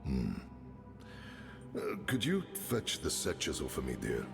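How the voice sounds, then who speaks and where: An actor playing a kindly older town leader who speaks in a fatherly, unhurried way. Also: a bass voice, wildly swooping pitch, gravelly, big-room sound, over music, exaggerated voice. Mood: calm kindly. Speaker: town elder and adoptive father.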